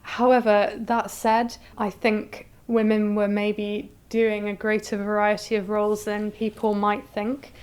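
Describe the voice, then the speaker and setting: A woman curator gives articulate explanations in an interview. Her voice is clean and resonant, at a middling pitch. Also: flat delivery, measured pace, hardly any pauses, darkish tone, clear, resonant tone.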